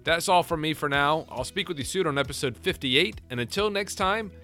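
A man's voice: a little high, a little fast, pushed and bright. A man hosting an interview podcast, asking questions and closing the episode in an upbeat, polished way. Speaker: podcast host.

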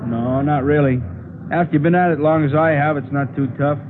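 A man's voice: fairly high pitch, steady tone, a little fast, phone-quality sound, lightly acted. An American man playing a proud, eager hot-rod builder in a 1950s radio drama, talking with boastful enthusiasm. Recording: noisy old radio broadcast, engine sounds.

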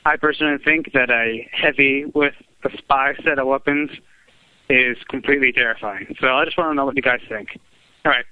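A man's voice: medium pitch, fairly quick, no echo. Male caller on a phone-like line. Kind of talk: casual recorded call-in question. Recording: tinny, narrow phone-like call.

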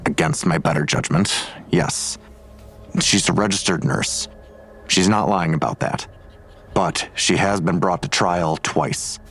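A man's voice: deep voice, unhurried, vocal fry, fairly bright tone, breathy edge, faint music behind, exaggerated voice. A man playing a weary, grudging police detective in an audio drama, curt and sardonic in manner. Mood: grudging, guarded.

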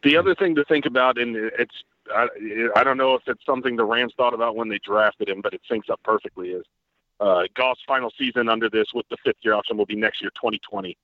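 Brief: guest analyst, man, rapid, detailed explainer, lo-fi remote line. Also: mid-range voice, dry recording. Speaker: guest football writer. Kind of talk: casual podcast chat.

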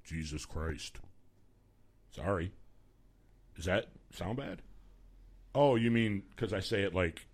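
Man, baritone, casual radio host, rambling jokes. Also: animated, takes it slowly, long pauses. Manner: rambling, joking, loose.

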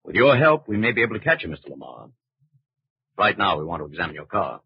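An actor playing a firm, courteous FBI agent with a clipped, official manner in a 1940s radio drama. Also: male voice, deep voice, big pitch swings, fast, husky, no echo, heavily acted. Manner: clipped, official, matter-of-fact. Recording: muffled old radio broadcast recording.

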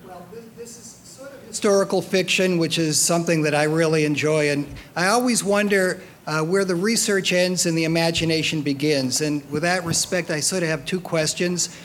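A man's voice: a little high, moderate pace, fairly bright tone. A man asking informal, multi-part questions from the floor as an audience member at a live event. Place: live book fair event. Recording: clear background noise, live event room.

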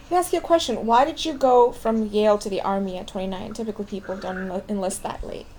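A woman's voice: mid-range voice, quick pace, dark tone, slight background noise. A woman hosting an interview, asking long, rambling questions. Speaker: interviewer, host.

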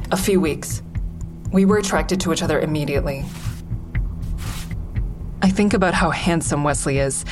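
A woman's voice: lowish voice, close to an everyday voice. An actress playing a defensive, sardonic woman comedian in an audio drama, wry and guarded, confiding her thoughts as narration. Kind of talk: full-cast audio drama acting with first-person narration.

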